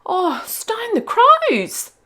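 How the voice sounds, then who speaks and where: A woman voicing a plucky young female dingo pup in a children's storybook, brisk and encouraging. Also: very high-pitched, wildly swooping pitch, pushed and bright, voice pushed up, full character voice. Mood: thrilled.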